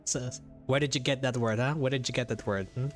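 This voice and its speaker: A male game streamer with a Filipino accent and a medium-pitched voice. He chats and jokes casually, hesitating as he reacts, with faint game music underneath. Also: fairly even intonation, fairly quick. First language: Tagalog. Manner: joking, chatty, hesitant.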